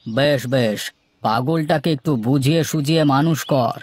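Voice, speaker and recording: A man's voice: medium pitch, normal speed, darkish tone, no room sound, natural voice. A man voicing a bossy work-gang foreman in a cartoon, commanding and disapproving. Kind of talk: cartoon dialogue acting. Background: background music.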